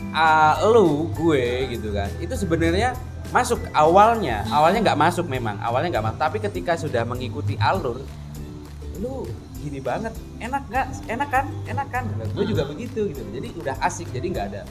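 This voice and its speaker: Man, Jakarta accent, casual chat, relaxed and slangy, music behind. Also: a little high, a little fast.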